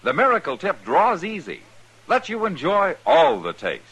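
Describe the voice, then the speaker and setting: A man delivering a mid-century radio advertisement as an emphatic announcer with a clipped sales pitch. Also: huge pitch swings, normal speed, a bit bright, breathy edge, poor audio. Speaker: commercial announcer. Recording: vintage radio recording.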